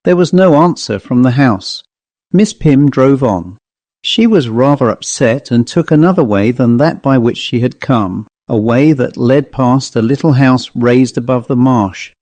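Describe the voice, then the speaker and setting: A man's voice: mid-range voice, animated, measured pace, darkish tone, dry recording. A man reading a classic ghost story aloud as an audiobook narrator, in a scripted literary style.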